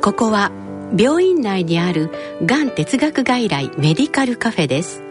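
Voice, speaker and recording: A woman narrating a scripted radio drama in a measured, explanatory manner. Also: medium pitch, very animated, over music, lightly acted. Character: female narrator explaining a clinic scene.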